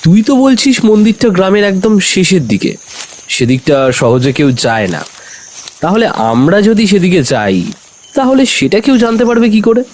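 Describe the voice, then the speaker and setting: A man's voice: high-pitched, very animated, normal speed, darkish tone, slight background noise. A man playing a curious, bold young city man in an audio horror story, casual and persuasive in how he talks. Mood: eager, persuasive. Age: young adult.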